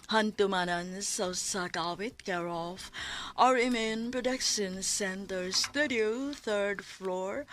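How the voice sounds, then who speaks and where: A woman's voice: mid-range voice, fairly bright tone. A woman with a Cebuano Filipino accent hosting a radio drama programme in a scripted announcing style. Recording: broadcast with music bed.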